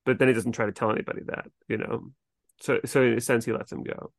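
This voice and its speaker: A woman's voice: a bass voice, fairly even intonation, fast, vocal fry, no room sound, clean studio sound. A woman hosting a casual discussion podcast, putting questions to the guest in a chatty way. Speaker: podcast host.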